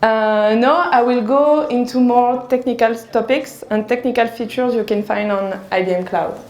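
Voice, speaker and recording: A woman with a French accent introducing a technical conference talk as a developer advocate, speaking slowly and carefully. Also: on the high side, a little mellow. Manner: deliberately slow and careful. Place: conference hall. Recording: echoey conference hall.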